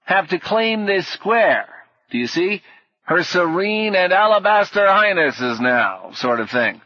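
A man's voice: a little high, expressive, measured pace, breathy edge, no room sound, dull audio, voice pushed up, full character voice. A man voicing a vague, befuddled, polite knight in an audiobook, with clipped, dithering, chummy old-fashioned phrasing. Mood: perturbed. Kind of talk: audiobook character acting.

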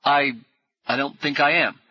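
A man doing a halting, bewildered man. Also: fairly high pitch, fairly even intonation, slightly rough, voice pushed up. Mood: unsure. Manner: halting, stammering. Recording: dull, dry lo-fi audiobook recording.

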